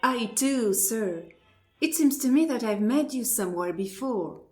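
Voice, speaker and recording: A woman's voice: on the high side, expressive, a little mellow, faint music behind. A woman playing a prim, courteous, politely baffled lady with formal delivery in an absurdist radio play. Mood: polite doubt. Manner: formal, deliberate, mock-astonished. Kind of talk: absurdist stage dialogue read as radio play.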